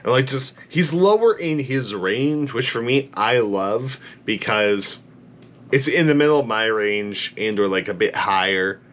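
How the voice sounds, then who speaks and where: A man with a low bass voice giving an enthusiastic, rambling reaction to a song, full of "like" fillers, tired late at night. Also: animated, unhurried, a bit bright. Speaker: music reaction YouTuber. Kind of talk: casual reaction commentary.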